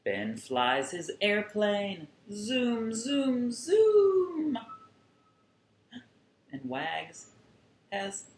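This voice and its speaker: Animated, unhurried man reading to young children. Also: sits high. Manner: expressive, drawn-out words and sound effects. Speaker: children's museum storyteller. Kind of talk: animated picture-book read-aloud for young children. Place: small furnished room at home, indoors. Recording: roomy sound with faint background noise.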